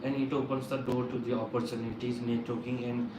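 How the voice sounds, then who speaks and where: A young man from Nepal with a Nepali accent, answering visa interview questions in a halting, formal way, often restarting his sentences. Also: medium pitch, level tone, mellow. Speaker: student visa applicant. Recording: echoey room with background noise.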